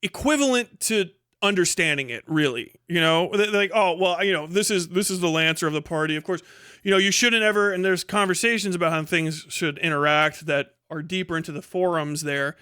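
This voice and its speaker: A man's voice: high-pitched, fairly quick, sharp tone, clean tone, dry recording, clean studio sound. A man hosting a livestream, giving rambling, emphatic and sweary opinionated commentary in casual speech. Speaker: livestream host.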